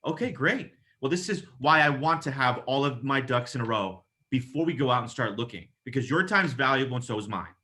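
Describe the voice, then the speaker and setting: A man's voice: medium pitch, expressive, fairly quick, hardly any pauses, bright, forceful tone. A man working as a real estate agent, giving casual, emphatic advice in a conversational coaching style and acting out example phone calls. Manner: emphatic, casual, mildly profane, checks in with "okay?".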